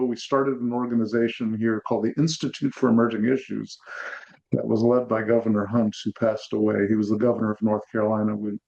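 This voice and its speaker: A man's voice: medium pitch, a little mellow. Gracious man on dull lo-fi call audio. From Zambia. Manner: gracious, collaborative. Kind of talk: video call conversation. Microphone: video call.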